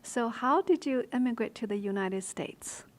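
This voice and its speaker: A woman's voice: a little high, animated, moderate pace. A woman hosting a show, interviewing a guest conversationally. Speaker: interview host.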